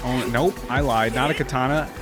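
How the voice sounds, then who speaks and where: A man's voice: medium pitch, moderate pace. A man giving casual, enthusiastic, slangy reaction commentary as host of a reaction video, with trailer music behind him. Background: game trailer music.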